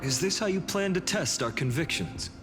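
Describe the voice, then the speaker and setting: An actor playing a humble young common soldier who talks in a wry, self-doubting way. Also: male voice, on the high side, lively intonation, normal speed, background noise, natural voice. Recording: game music behind.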